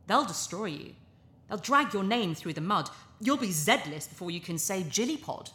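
Cynical, worldly TV presenter, sci-fi audio drama. Breezy persuader with swooping intonation, echoey room. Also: female voice, medium pitch, animated, normal speed, pushed and bright. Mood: coaxing, persuasive. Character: glib, cynical, charming female TV propaganda presenter. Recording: roomy, echoing sound.

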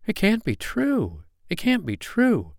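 A man's voice: fairly high pitch, big pitch swings, moderate pace, clear, resonant tone, dry recording, clean studio sound, pitched above the performer's own voice. A man voicing an earnest young boy in a storybook, with an emphatic, pleading manner. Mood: disbelieving, upset. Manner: emphatic, pleading, repeats words.

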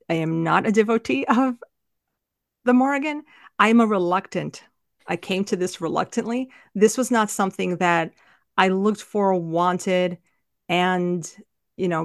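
A woman's voice: on the low side, fairly even intonation, moderate pace, gravelly, no room sound, studio quality. A woman co-hosting a podcast, chatting casually with playful jokes. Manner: joking, playful. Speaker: podcast co-host.

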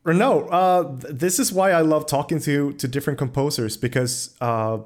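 A man's voice: on the high side, moderate pace. A man hosting a podcast, interviewing in a casual, conversational way with keen interest. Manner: enthusiastic, interested.

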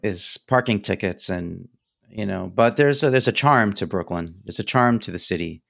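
Gravelly, low-pitched New man. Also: moderate pace, fairly bright tone, dry recording, studio quality. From New York. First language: Greek. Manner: rambling, many fillers and restarts. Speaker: guest. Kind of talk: casual conversation.

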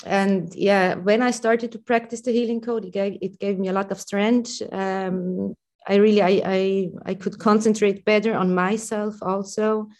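A woman with a Swiss accent calling in to share a personal story, hesitant and full of pauses and fillers. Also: mid-range voice, level tone. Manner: hesitant, many pauses and fillers, soft laughs. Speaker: caller. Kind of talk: personal testimony on a call-in.

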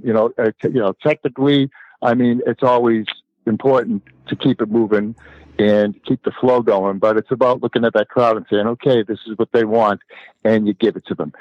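A man's voice: mid-range voice, no echo. Man telling stories, dry muffled sound. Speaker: DJ and former record promoter, interview guest. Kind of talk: casual anecdotal interview conversation.

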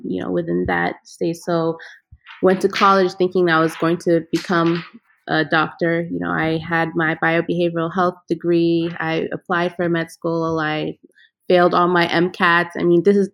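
Gravelly, flat-toned woman from Ethiopia. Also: fairly low pitch. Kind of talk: interview guest, casual talk.

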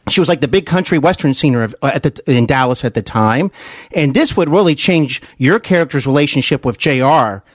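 A man hosting a podcast interview, speaking enthusiastically in long, halting, wordy questions to his guest. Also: medium pitch, lively intonation, no echo, studio quality. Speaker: podcast host interviewing an actor.

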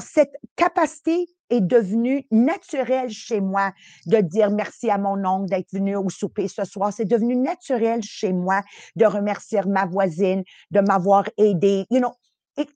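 A woman's voice: medium pitch, warm tone, dry recording. A woman with a Quebec French accent, giving a live motivational podcast talk in a heartfelt, emphatic way, repeating key phrases. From born in Italy. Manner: slips English phrases into French. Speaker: podcast host and motivational speaker.

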